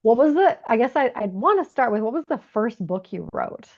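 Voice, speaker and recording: A woman from Canada hosting a conversational podcast, reflective and affirming in an expressive way. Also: fairly high pitch, brisk, lo-fi sound. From Canada, moved there from Bulgaria aged three. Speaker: podcast host. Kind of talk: warm conversational podcast interview.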